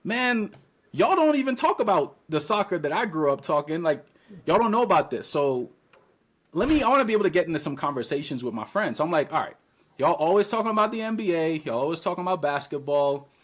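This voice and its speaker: A man in his thirties from Trinidad and Tobago, a podcast guest chatting casually and telling lively stories in which he acts out his own past words. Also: fairly high pitch, fast.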